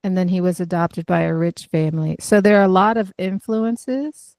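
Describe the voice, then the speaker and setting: A thoughtful woman from the United States, speaking as a podcast guest. She chooses her words carefully and pauses with small fillers. Her voice is recorded close and dry. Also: on the low side, fairly even intonation, darkish tone, dry recording. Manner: thoughtful, measured, uses fillers. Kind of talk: conversational interview.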